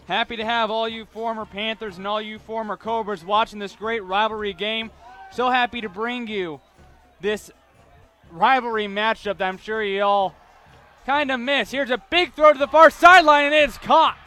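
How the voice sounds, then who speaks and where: A young American man with a high, bright voice works as a sports reporter and announcer. He delivers his commentary in a forceful, clear-cut broadcast style. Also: fairly even intonation, moderate pace, soft background music.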